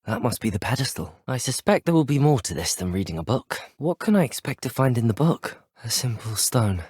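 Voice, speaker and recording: Casting: earnest British teenage wizard student, game protagonist; lively intonation, slightly husky, close dry sound. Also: male voice, medium pitch, fairly quick, dry recording. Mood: earnest, urgent. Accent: British English. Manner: polite, articulate, well-spoken. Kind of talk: video game dialogue acting.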